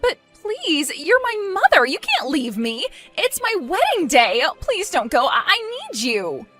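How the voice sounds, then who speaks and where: Woman acting out a whiny, high-pitched spoiled young bride. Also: huge pitch swings, normal speed, fairly bright tone, faint music behind, full character voice. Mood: desperate pleading. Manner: petulant, pleading, dramatic. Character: spoiled, whiny, self-centred young bride, pleading with mother.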